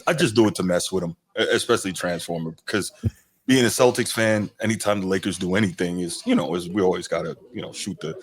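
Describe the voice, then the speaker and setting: Deep-voiced man in easygoing sports chat. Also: fast talker, husky. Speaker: podcast co-host.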